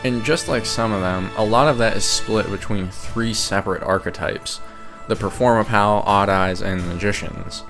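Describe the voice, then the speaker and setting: A man's voice: on the low side, moderate pace, fairly bright tone. A man giving scripted, opinionated ranking commentary in a wry way, as a video creator. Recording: music behind the voice. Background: game music.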